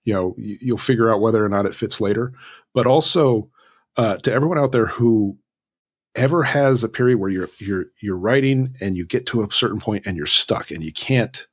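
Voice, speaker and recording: Casting: male podcast guest, low husky voice, thoughtful conversational delivery with stop-start phrasing. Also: normal speed. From Southern California, United States. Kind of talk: conversational interview advice.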